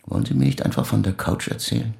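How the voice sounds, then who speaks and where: An actor playing a probing, unruffled male psychotherapist, gravelly and terse, in naturalistic radio drama style. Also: medium pitch, fast, a little mellow. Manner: terse, questioning.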